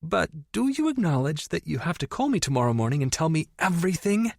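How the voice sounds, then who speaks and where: A shrill, bubbly young woman who can't contain her excitement. Her voice jumps high and swings wildly as she gushes, breathless and squealing. Close, dry audiobook sound. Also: brisk, gravelly, dry recording, exaggerated voice. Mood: gushing. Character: gushing, excitable young woman best friend. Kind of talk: audiobook character voice.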